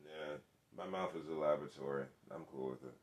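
A man co-hosting a casual chat, brash and joking with crude banter, who calls his voice monotone. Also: very deep voice, brisk, roomy sound. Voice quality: monotone delivery. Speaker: podcast co-host.

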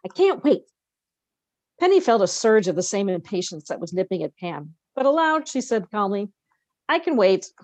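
A woman's voice: medium pitch, big pitch swings, normal speed, darkish tone, no room sound. A woman co-hosting a podcast, expressively reading a novel aloud with casual, conversational asides.

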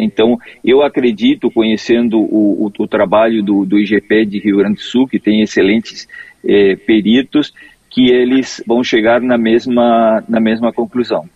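Male forensic expert interviewee, husky, measured but halting, dry lo-fi remote sound. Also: fairly low pitch, darkish tone, no echo. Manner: hesitant, measured, restarts technical explanations. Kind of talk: explanatory interview answers.

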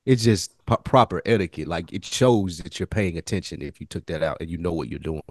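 A man's voice: low-pitched, brisk, no room sound, clean studio sound. A man co-hosting a podcast, explaining things in casual, slangy conversation. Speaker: podcast co-host reviewing a TV series. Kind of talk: casual chat.

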